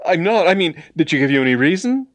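A man voicing a flustered, exasperated younger gentleman in a storybook, stammering out emphatic, incredulous protests. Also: on the high side, big pitch swings, slow, no room sound, voice pushed up. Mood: indignant, flustered. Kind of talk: dramatised storybook reading.

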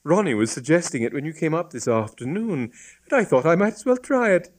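A woman's voice: deep voice, expressive, brisk, a little mellow, pitched above the performer's own voice. A man voicing an earnest, naive young woman with a plaintive, innocent manner in a comic story. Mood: breezy, innocent.

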